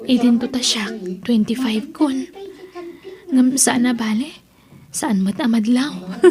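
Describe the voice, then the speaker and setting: A woman's voice: fairly high pitch, natural voice. A woman playing a dutiful, grateful daughter in her twenties in an Ilocano radio drama, earnest and deferential, with an Ilocano accent.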